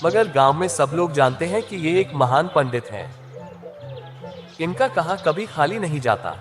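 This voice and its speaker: Man voicing a lively, higher-pitched village farmer. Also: measured pace, over music. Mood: respectful, curious. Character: simple, hardworking village farmer and husband. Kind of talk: animated Hindi moral-story voice acting.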